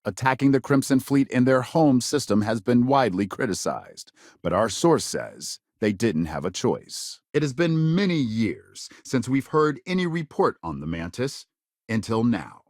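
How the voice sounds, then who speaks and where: An actor playing a serious, polished male news anchor in a sci-fi game, reading scripted reports with measured, emphatic delivery. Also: mid-range voice, big pitch swings, darkish tone, no room sound, studio quality. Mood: grave, authoritative. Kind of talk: scripted news broadcast narration.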